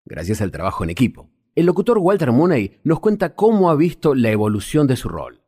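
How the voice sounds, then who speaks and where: A man's voice: mid-range voice, wildly swooping pitch, a little fast, warm tone. A man narrating a scripted documentary as its host.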